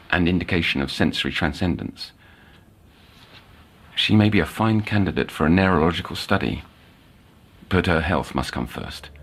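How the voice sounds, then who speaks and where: Intense institute counsellor, audio drama. Low, gravelly, articulate, theatrical delivery. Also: male voice, fairly bright tone, a little hiss or hum, full character voice. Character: intense male counsellor at a children's psychiatric institute. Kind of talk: audio drama, dictated case notes and dialogue.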